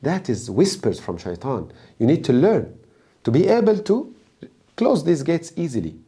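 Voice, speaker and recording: A man from Egypt with an Egyptian accent, a native Arabic speaker, giving religious advice as a preacher in an emphatic, deliberate way. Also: a little high, big pitch swings, mellow, slightly rough. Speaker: Islamic preacher and guest.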